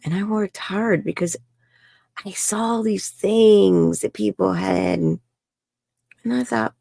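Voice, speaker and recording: Gravelly older New woman. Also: medium pitch, slow, dark tone, no room sound, studio quality. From New York. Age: 70s. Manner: frank and chatty, laughs often, says "bloody". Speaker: guest. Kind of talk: candid personal storytelling in an interview.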